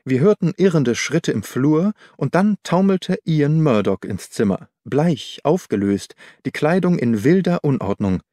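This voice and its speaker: A man's voice: a little high, lively intonation, unhurried, few pauses, warm tone, dry recording. Dry-sounding male audiobook narrator. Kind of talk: scripted literary narration. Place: dry studio.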